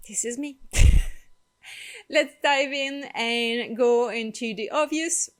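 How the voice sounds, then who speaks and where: Woman host, French-Canadian accent, unhurried delivery with frequent ums and light laughs. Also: a little high. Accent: Quebecois French. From Quebec, Canada. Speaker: channel host. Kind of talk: personal vlog storytelling.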